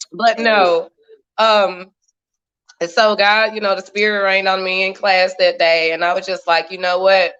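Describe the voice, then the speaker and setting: A woman in her thirties with a bright, forceful voice tells her story on a video call. She talks in an animated way, laughs often and drops churchy phrases. The stream sound is dull and lo-fi. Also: medium pitch, a little slow, clear, resonant tone. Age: 30s. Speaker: guest. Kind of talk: casual chat.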